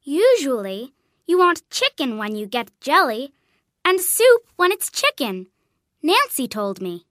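A woman's voice: high voice, wildly swooping pitch, takes it slowly, no echo, clean studio sound, voice pushed up, full character voice. A woman voicing a cheerful, guileless young girl in a storybook, with an earnest, eager manner. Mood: bright, eager. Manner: bright, earnest, exclamatory. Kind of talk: storybook character dialogue.

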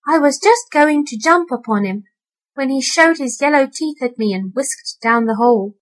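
A woman's voice: high voice, expressive, moderate pace, pitched above the performer's own voice, poor audio. Woman doing a fretful tearful mother cat. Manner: fretful lamenting.

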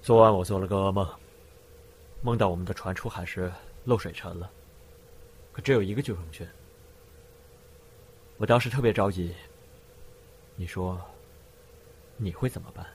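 Man acting a very deep, brooding crime boss. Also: normal speed, long pauses, darkish tone, breathy edge. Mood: brooding, subdued. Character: brooding male drug-smuggler boss in love, grieving and suspicious. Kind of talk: amateur Chinese audio drama acting. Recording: hissy amateur home recording.

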